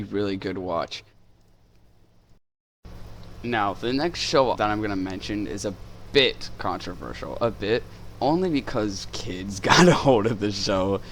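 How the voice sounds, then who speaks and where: A man's voice: on the low side, slight background noise. A man hosting a casual, unscripted video review in an informal, enthusiastic, rambling way. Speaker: YouTube reviewer, host.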